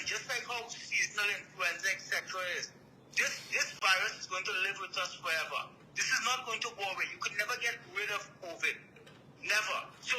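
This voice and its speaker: High, sharp-voiced Trinidadian man calling in. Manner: blunt, insistent, poses questions to himself. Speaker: call-in guest expert. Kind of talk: persuasive explanatory talk. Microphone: remote call. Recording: thin, noisy remote call.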